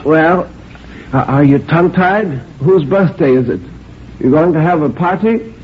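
A man's voice: fairly high pitch, a little slow, voice pushed up, close to an everyday voice. A man voicing a stubborn, cantankerous, dying old woman in a story reading, rambling and querulous. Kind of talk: dramatic short story reading. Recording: muffled, noisy audio.

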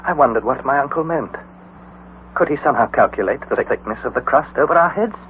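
A man's voice: mid-range voice, expressive, fairly quick, lightly acted. An actor playing an earnest young man who narrates his adventure in a dramatic storytelling manner. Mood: reflective narration. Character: young male nephew narrator, earnest and anxious. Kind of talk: 1940s radio acting. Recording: tinny old radio broadcast with faint hiss.

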